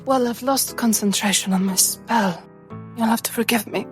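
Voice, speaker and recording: A woman's voice: on the high side, moderate pace, soft background music. A woman playing a tabletop fantasy game, speaking her character's dialogue in a roleplaying style.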